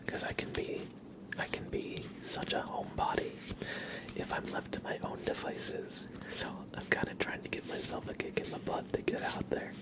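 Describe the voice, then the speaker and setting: A young man hosting a relaxed ASMR livestream, mostly whispering and speaking softly in a reassuring, encouraging way. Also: normal speed, rough recording. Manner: soft-spoken, mostly whispering. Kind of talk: relaxed ASMR, chatting with viewers and reading tarot cards.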